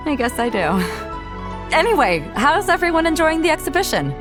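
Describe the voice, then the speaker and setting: A woman's voice: high voice, very animated, unhurried. A woman voicing a bold, jovial, plain-spoken ship captain in a video game, casual and breezy. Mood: amused, breezy. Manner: casual, breezy, self-deprecating. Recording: game music clearly behind.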